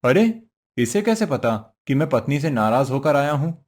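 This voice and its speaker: A man's voice: medium pitch, very animated, normal speed, a little mellow. A man voicing a poor, simple woodcutter husband in a cartoon story, earnest, meek and fretful. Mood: worried. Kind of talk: Hindi cartoon story voice acting.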